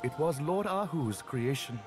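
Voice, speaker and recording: A man playing a reverent, gossipy temple guide in a video game, measured and knowing. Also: on the high side, expressive. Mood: wistful, knowing. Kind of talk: video game dialogue acting. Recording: game dialogue with music behind. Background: game music.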